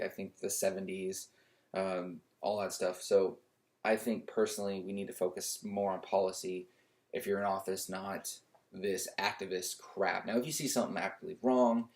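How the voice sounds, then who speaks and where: A young adult man ranting at home for his channel in a loud voice, indignant and repetitive, often restarting his sentences. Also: sits low. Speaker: YouTuber. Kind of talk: casual opinion rant to camera.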